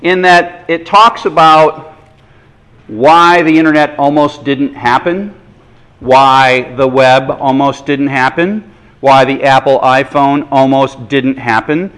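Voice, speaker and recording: A man lecturing a university class in a relaxed, conversational way, with self-deprecating jokes. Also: mid-range voice, slow. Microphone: microphone adjusted during talk.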